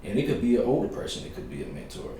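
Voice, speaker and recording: A man, hosting a podcast in a relaxed, storytelling manner. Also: on the low side, very fast. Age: 30s. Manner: relaxed, storytelling with quoted voices. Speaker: podcast host. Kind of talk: casual chat. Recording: roomy echo, distant mic, faint hiss.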